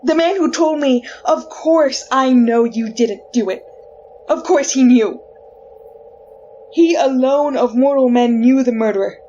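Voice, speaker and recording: A woman playing a wrongly condemned, desperate soul in an old-time radio horror drama, with a halting, pleading delivery. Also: high voice, mellow, dull audio, a little hiss or hum. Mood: shocked, bitter.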